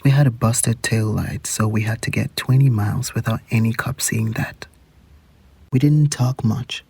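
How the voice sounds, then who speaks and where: A man's voice: on the low side, steady tone, moderate pace, gravelly, a little mellow, breathy edge, no echo. An actor playing a man looking back on a secret teenage adventure in a reflective audio drama monologue. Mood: reflective, hushed.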